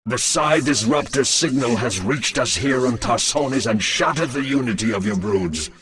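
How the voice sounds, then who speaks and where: A man playing a queen's grave, deferential lieutenant in a video game, speaking in a formal, courteous, measured way. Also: mid-range voice, expressive, fairly bright tone, close to an everyday voice. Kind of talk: scripted video game voice acting.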